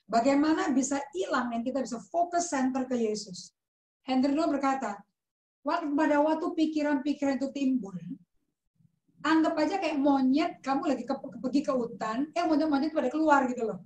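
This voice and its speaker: A woman's voice: high-pitched, a little fast, darkish tone, reverberant, low-quality recording. A woman pastor teaching in a conversational sermon style, mixing in English words and asking rhetorical questions.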